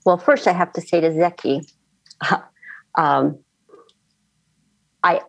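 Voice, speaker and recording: A middle-aged woman from the American Midwest with a deep voice and plenty of vocal fry. She talks plainly and earnestly as an interview guest, recorded very dry. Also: steady tone, slow, no echo. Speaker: former election official, interview guest. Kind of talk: conversational interview.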